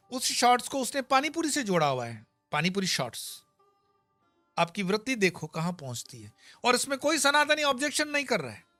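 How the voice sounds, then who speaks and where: A man teaching scripture in a sermon-style discourse, swinging between loud and soft as he explains with everyday examples. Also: high voice, no echo. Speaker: spiritual teacher. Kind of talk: Gita discourse.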